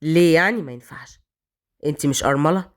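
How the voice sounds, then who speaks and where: A woman voicing a cold, harsh police officer of thirty who speaks in a low, commanding tone. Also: quick pace, natural voice. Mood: seductive.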